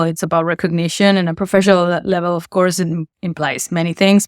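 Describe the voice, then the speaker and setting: A woman's voice: lowish voice, clean tone, dry recording, clean studio sound. A woman from Argentina, an artist explaining her work thoughtfully in an interview. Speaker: installation artist.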